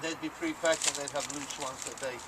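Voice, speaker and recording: A man's voice: a little high, fairly even intonation, brisk, very noisy. A man co-hosting a casual unboxing chat outdoors, talking easily and listing items as he unpacks them. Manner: chatty, lists items as he unpacks. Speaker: archery shop co-host.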